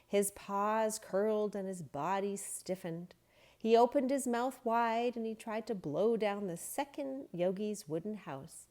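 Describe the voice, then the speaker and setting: A woman reading a children's story aloud in a gentle, expressive, unhurried way. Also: medium pitch. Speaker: storybook reader.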